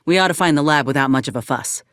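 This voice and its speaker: A woman's voice: low-pitched, no echo, close to an everyday voice. Woman acting as a folksy space frontier ex-soldier gal, earnest n steadfast, plain talker, game dialogue, super dry sound. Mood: earnest, hopeful. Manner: folksy, plainspoken, measured delivery. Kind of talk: video game character dialogue.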